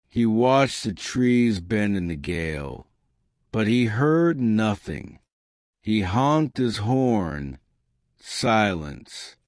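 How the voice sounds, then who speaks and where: A man narrating a scripted dramatic story in a solemn, deliberate manner. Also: lowish voice, dry recording, clean studio sound.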